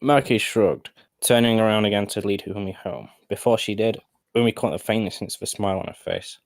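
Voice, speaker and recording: A man narrating a story as an amateur, halting and often correcting himself. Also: fairly low pitch, fairly even intonation, dry recording. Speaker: narrator of fan fiction storytime.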